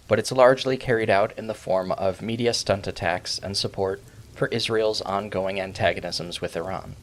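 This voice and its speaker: A man narrating a scripted video essay as its host, in a measured, formal, literary way. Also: fairly low pitch.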